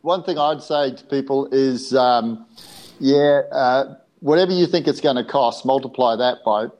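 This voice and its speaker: A man's voice: medium pitch, a little slow, a little mellow, lo-fi sound. A man hosting a casual interview, frank, swearing casually and laughing often.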